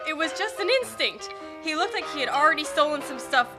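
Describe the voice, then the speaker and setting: A woman's voice: high voice, expressive, brisk. A woman playing a cautious, worried young boy in an amateur Western radio play, hesitant and anxious. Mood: apologetic. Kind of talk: amateur old-time radio play acting. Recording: music behind the voice.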